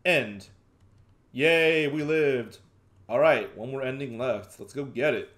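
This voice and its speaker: A man's voice: mid-range voice, slow pace, fairly bright tone. A man doing casual gaming commentary in a flippant, jokey, sweary manner. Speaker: gaming video host. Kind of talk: casual let's play commentary, reading dialogue aloud.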